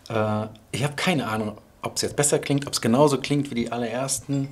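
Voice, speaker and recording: A man's voice: mid-range voice, steady tone, fairly quick. A man chatting casually to his viewers as a vlogger, rambling and thinking aloud. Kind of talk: casual vlog chat. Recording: clearly audible ambient background noise.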